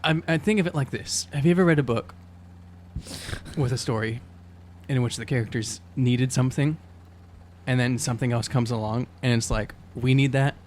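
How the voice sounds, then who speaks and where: Halting man improvising in a tabletop game. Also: mid-range voice, a little mellow, a little hiss or hum. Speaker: player in an actual-play podcast. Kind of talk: casual improvised roleplay chat.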